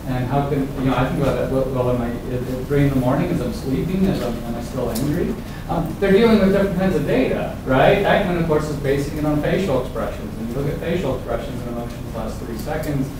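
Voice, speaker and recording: A man's voice: medium pitch, quick pace, hardly any pauses, background noise. A man on an academic panel, speaking hesitantly with frequent uhs. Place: conference room. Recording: echoey room from far off.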